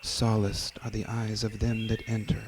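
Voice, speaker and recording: A man giving a solemn, measured dramatic reading of scripture. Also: on the low side, monotone, background noise. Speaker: reader.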